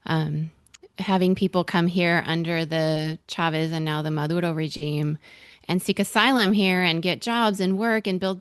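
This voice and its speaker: A woman's voice: lowish voice, smooth, no room sound, studio quality. A woman who grew up in Venezuela, a podcast guest talking earnestly in long run-on sentences in a casual roundtable.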